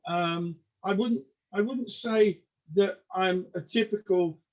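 A man in his sixties with a notably high voice, recorded in dull, lo-fi audio. He grew up in the UK with Polish as his first language. He chats casually and self-deprecatingly as a guest, often repeating words as he gets going. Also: takes it slowly. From United Kingdom. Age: mid-sixties. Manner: stammering repetitions, self-deprecating. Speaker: podcast guest, comedian. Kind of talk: casual interview chat.

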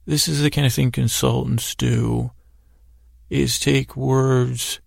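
A man with a creaky, gravelly voice hosting a bedtime podcast, telling a meandering monologue with halting, stammered, repeated words. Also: mid-range voice, little pitch movement, no echo, studio quality. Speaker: podcast host. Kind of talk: rambling bedtime sleep-story monologue.

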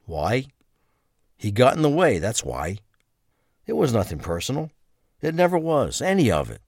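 A man's voice: mid-range voice, huge pitch swings, moderate pace, darkish tone, no echo, clean studio sound. A man playing a bitter, resigned middle-aged killer in prison, in a crime audio drama. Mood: cold indifference. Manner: resigned, indifferent.

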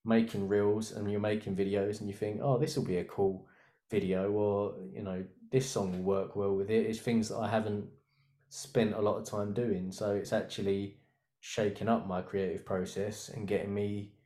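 A man's voice: on the low side, level tone, moderate pace, dark tone. Rambling man in his thirties, echoey room. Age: 30s. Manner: rambling, thinks aloud with many restarts. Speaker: designer guest. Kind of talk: casual podcast chat.

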